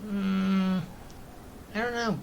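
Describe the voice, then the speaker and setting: A man's voice: sits high, little pitch movement, very slow, a little hiss or hum. A man giving casual, blunt, offhand commentary while he plays a video game. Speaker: let's play streamer.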